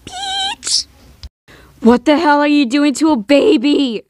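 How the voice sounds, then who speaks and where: Woman voicing a high, flustered, outraged young man. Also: huge pitch swings, takes it slowly, a little mellow, heavily acted. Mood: shocked, outraged. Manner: exclamatory, flustered, stammering.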